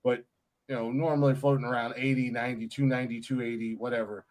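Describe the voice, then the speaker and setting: Man, podcast host, casual comedic banter, frequent laughter, rambling, filler words, medium pitch. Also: flat delivery, fast, a little mellow.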